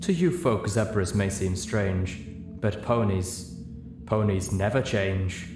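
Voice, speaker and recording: A man voicing a gentle, disappointed female zebra who speaks in measured, exotic rhyme for a story reading. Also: on the low side, over music. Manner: poetic, rhyming, measured. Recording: roomy, clearly noisy home recording.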